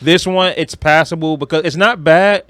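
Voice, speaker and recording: Male podcast co-host, higher-than-average pitch, blunt slangy delivery with swearing, casual, dry sound. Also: normal speed, fairly bright tone, no room sound. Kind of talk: casual chat.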